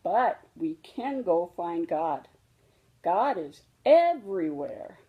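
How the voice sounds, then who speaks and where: A woman voicing a gentle, patient mother bear who explains things reassuringly in a children's storybook. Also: lowish voice, very animated, slow, phone-quality sound. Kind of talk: children's storybook read-aloud.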